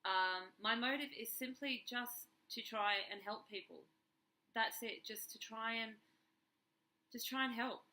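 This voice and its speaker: A woman vlogger with a slightly croaky, under-the-weather voice talks earnestly and emphatically to camera, repeating key words for stress. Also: fairly high pitch, unhurried, sharp tone. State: slightly unwell, a bit croaky. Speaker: YouTube vlogger. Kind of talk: earnest personal talk to camera. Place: carpeted room at home, indoors.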